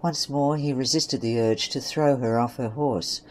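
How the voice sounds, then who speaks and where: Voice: medium pitch, measured pace, darkish tone, poor audio. Story narrator over faint noise and ambience.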